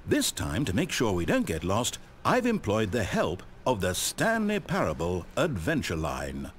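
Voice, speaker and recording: Fussy, wildly animated British narrator. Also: male voice, on the low side, measured pace, a little hiss or hum. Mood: bright, musing. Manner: conversational, self-interrupting, dramatic. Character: fussy, whimsical, exasperated male storyteller-narrator. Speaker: video game narrator. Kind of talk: theatrical scripted narration.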